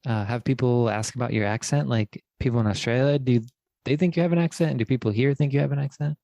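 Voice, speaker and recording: A man's voice: mid-range voice, quick pace, creaky, mellow, no echo, clean studio sound. A man co-hosting a podcast, asking questions in a laid-back way with many fillers. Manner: laid-back, filler-heavy, laughs easily. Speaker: podcast co-host. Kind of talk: casual interview chat.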